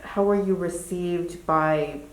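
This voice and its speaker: Slow-spoken woman interviewer, echoey. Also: lowish voice, slight background noise. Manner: gentle, halting questions. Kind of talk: oral history interview questions. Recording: echoey room.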